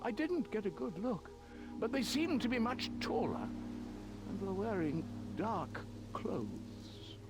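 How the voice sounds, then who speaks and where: Very high-pitched, twitchy hermit. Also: big pitch swings, slow, heavily acted. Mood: uneasy, confiding. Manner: twitchy, evasive, hesitant muttering. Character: odd, furtive, nervous male hermit who hoards bones. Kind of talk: video game character dialogue. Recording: game dialogue over prominent music.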